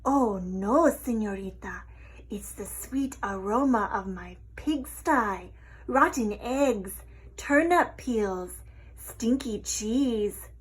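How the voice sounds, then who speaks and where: A woman's voice: on the high side, animated, takes it slowly, husky, heavily acted, rough recording. A woman voicing a boorish, smelly male pig in a storybook, hammy and snorting. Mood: proud. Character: boorish, smelly male pig suitor, proud of his filth. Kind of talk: storybook character voice.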